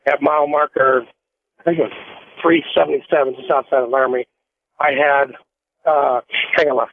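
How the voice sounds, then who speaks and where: A man's voice: mid-range voice, fairly even intonation, moderate pace, creaky, slight background noise. An American man, a trucker calling a radio show, telling a casual, rambling story over a phone line. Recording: tinny narrowband phone line.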